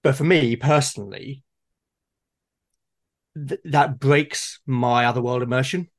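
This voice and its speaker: A man with a medium-pitched voice talks in a conversational way. He is hesitant, circling back and repeating words while he works out his thought. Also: steady tone, a little slow. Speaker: tabletop gaming podcast speaker. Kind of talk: casual conversational discussion.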